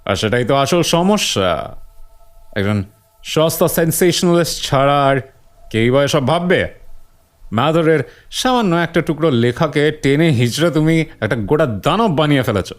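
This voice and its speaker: Skeptical male friend for a horror audiobook played by a man, higher-pitched, argumentative, doubting every monster tale. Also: expressive, moderate pace, close to an everyday voice. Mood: scornful. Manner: dismissive, argumentative. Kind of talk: dramatic audiobook dialogue.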